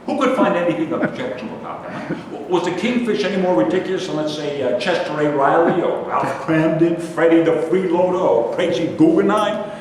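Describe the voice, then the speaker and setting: A man in a staged play reading, playing a bitter, nostalgic, judgmental city loner who rants haltingly. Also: fairly high pitch, a little mellow, lightly acted. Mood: defensive, nostalgic. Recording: very echoey hall, distant mic, faint noise.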